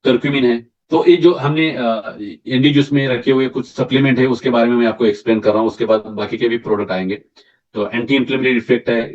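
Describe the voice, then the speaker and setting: A man with a slightly hoarse throat leads a product training session. He explains point by point, stumbles and restarts words, and often asks "right?" to check on his listeners. The recording sounds distant and dull, like a mic set far from him. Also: mid-range voice, a little mellow. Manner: mixes English terms into Hindi, halting restarts. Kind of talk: sales training presentation.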